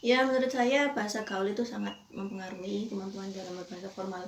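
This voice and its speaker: A woman's voice: medium pitch, fairly even intonation, fast, reverberant, background noise. Female student, 20s, conversational interviewee. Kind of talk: casual interview answers.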